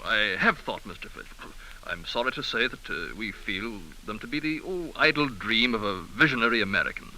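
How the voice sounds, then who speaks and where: An actor playing a haughty, dismissive British lord, pompous and condescending, in a hissy 1930s radio drama. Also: male voice, on the low side, expressive, moderate pace, sharp tone, poor audio. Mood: polite dismissal.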